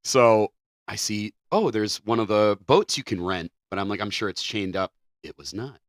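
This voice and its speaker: A man's voice: lowish voice, normal speed, dry recording, studio quality. A man co-hosting a podcast, telling a story casually with restarts and casual swearing. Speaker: podcast co-host.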